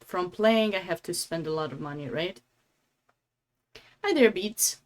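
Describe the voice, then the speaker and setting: Low-pitched Romanian-accented woman commentating. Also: a little slow. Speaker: chess commentator and streamer. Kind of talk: casual live game commentary with chat.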